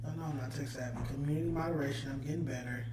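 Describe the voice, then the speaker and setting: A man's voice: mid-range voice, steady tone, rapid-fire, reverberant, noisy background. A man with a Los Angeles accent hosting a casual livestream chat, loose and chatty, reading out viewer comments. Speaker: podcast host.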